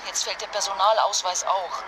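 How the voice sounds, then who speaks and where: A woman's voice: medium pitch, steady tone, lightly acted. Actress as emotional young mother, noisy surroundings. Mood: agitated, upset. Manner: halting, emotional, colloquial. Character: distressed young mother stranded abroad, impulsive and fragile. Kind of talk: naturalistic radio drama acting in phone calls. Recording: noisy ambience with vehicle sounds.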